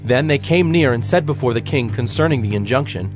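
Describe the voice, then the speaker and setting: A man narrating scripture as a formal, measured storyteller in a dramatized production. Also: mid-range voice, animated, rough recording. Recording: music bed behind the voice.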